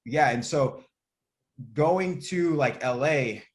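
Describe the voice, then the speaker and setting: A man's voice: on the high side, takes it slowly. A man from California answering as an interview guest, casual and reflective, often searching for words. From Monterey area, California.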